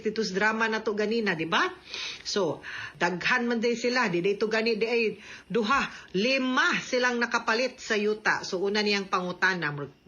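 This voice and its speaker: A woman's voice: mid-range voice, pushed and bright, muffled recording. A woman with a Cebuano accent hosting radio legal advice as a lawyer, chatty, with English words and tag questions mixed in. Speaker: host and lawyer giving advice.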